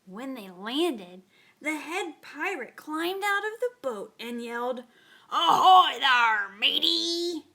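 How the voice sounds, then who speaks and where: A woman's voice: sits high, sharp tone. A woman hosting a read-aloud show for children, narrating storybooks in a theatrical, playful and exaggerated way.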